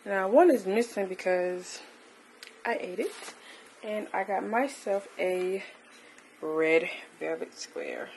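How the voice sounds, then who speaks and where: A young woman vlogging casually to her viewers, talking in a relaxed, chatty way while filming on a phone held at arm's length. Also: mid-range voice, takes it slowly, creaky, slight background noise. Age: young adult. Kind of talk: casual vlog chat to camera. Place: restaurant, supermarket and home kitchen.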